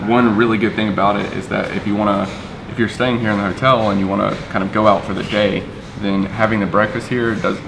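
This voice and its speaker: A man vlogging casually over breakfast in a hotel dining area, rambling with fillers, his voice a little raspy from the early hour. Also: on the low side. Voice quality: slightly raspy in the early morning. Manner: relaxed and rambling, with many fillers. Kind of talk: casual vlog chat. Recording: echoey room with background noise.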